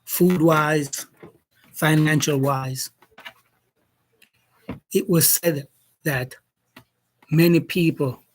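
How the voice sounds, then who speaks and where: A man from Jamaica speaking as a commentator in an opinion monologue, slow and deliberate, posing challenging questions. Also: mid-range voice, low-quality recording.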